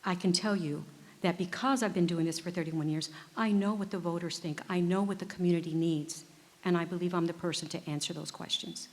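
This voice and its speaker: A woman in her fifties, a judicial candidate, speaking earnestly and in a measured way at a public forum. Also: lowish voice, fairly even intonation. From United States. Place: performing arts center stage. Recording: reverberant auditorium.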